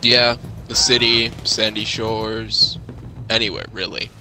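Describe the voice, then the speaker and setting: A man's voice: fairly low pitch, steady tone, takes it slowly, sharp tone, faint music behind, full character voice, poor audio. A man improvising as a firm, guarded leader of a survivor settlement in a zombie game skit. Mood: matter-of-fact. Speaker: player in a roleplay skit. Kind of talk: improvised game roleplay dialogue.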